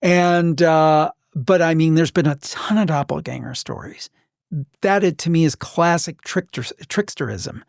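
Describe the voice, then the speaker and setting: A man hosting a podcast, telling stories casually and emphatically, with deliberate pauses. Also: fairly high pitch, gravelly, no room sound, clean studio sound. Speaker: podcast host. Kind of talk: casual conversational storytelling.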